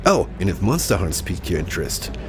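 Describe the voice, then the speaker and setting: A man's voice: low voice, sing-song, quick pace. A man presenting scripted promotional narration for a video game broadcast, polished and explanatory. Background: music.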